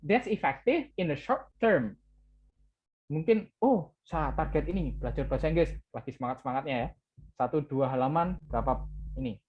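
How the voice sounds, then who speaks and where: A man's voice: a little high, lively intonation, brisk. Indonesian-accented guy teaching an english class online, hops between english and indonesian, keeps saying ya, bit muffled audio. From Java, Indonesia. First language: Javanese. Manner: switches between English and Indonesian, repeats key words with "ya". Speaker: lecturer.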